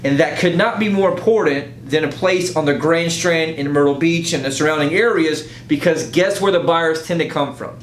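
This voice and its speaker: A man working as a real estate agent makes an informal, emphatic and persuasive sales pitch, often checking in with a rhetorical "right?". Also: moderate pace, few pauses. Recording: roomy echo, faint low rumble.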